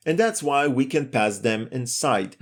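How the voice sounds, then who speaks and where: A man's voice: medium pitch, animated, a little slow. A man giving a step-by-step screencast programming lesson in a methodical, explanatory way. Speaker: programming tutorial instructor.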